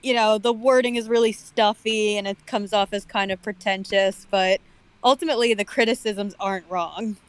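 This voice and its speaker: A woman's voice: mid-range voice, steady tone, moderate pace, smooth, no room sound. A woman giving casual commentary as a guest on a stream, mixing criticism with joking remarks. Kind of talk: casual critical chat with jokes.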